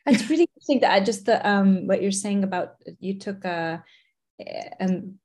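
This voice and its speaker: Hesitant, mellow-voiced woman interviewer. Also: medium pitch, normal speed. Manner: hesitant, many restarts, earnest. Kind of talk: casual interview over video call.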